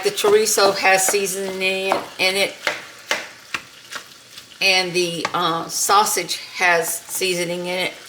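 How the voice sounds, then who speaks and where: A middle-aged woman talks through a recipe in a relaxed, homey way, pausing with little fillers as she works. Her pitch sits in an ordinary middle range. Clear frying and sizzling noise from the pan fills the home kitchen behind her. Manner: unhurried, homey, talks while cooking with many fillers. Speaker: cooking channel host. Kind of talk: casual cooking demonstration.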